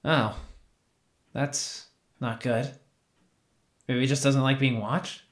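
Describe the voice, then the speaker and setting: A man's voice: mid-range voice, steady tone, takes it slowly, lightly acted. A man playing an earnest, defensive and naively trusting young man in a naturalistic audio drama. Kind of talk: naturalistic audio fiction podcast acting.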